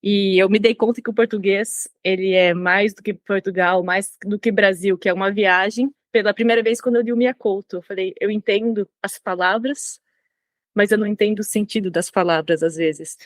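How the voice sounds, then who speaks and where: Brazilian woman talking it through slowly in an interview, mid pitch, dry close sound. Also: fairly even intonation, no room sound. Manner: reflective, with frequent restarts. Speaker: guest, language consultant. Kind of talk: conversational interview.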